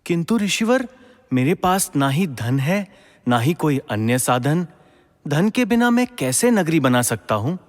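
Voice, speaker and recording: A man's voice: a little high, sing-song, a little slow. An actor playing a humble, devout young king of legend in a mythological audio drama, formal and earnest. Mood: humble, questioning. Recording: long reverberant hall echo.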